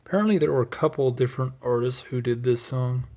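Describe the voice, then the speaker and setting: A man's voice: mid-range voice, quick pace, dark tone. A man giving a casual, unscripted show-and-tell as a collector, rambling along with hesitant asides. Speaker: record collector presenting a collection.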